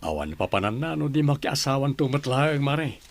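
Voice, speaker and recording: A man's voice: mid-range voice, big pitch swings, normal speed. A man playing a friendly, chatty family friend in a Filipino radio drama, speaking conversationally.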